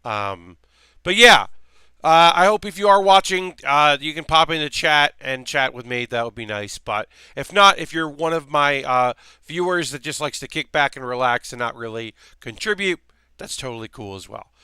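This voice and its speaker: A man hosting a relaxed live stream while building a model kit, rambling casually with lots of fillers, his voice slightly worn. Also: fairly high pitch, normal speed, smooth, no room sound. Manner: rambling, chatty, many fillers and restarts.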